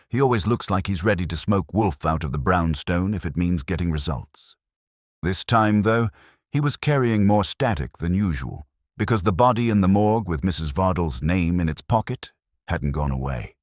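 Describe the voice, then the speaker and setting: A man's voice: sits low, lively intonation, moderate pace, no echo, clean studio sound, voice pushed up. A man voicing a wisecracking tough detective's assistant who narrates a hardboiled audiobook mystery. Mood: wry, brisk.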